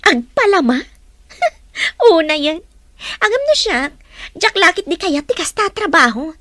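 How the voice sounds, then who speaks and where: Radio drama casting: haughty young city student, played by a woman, high, bright voice, blunt and complaining. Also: big pitch swings, a little slow, no room sound, clean studio sound, exaggerated voice. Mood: scornful. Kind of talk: Ilocano audio drama acting.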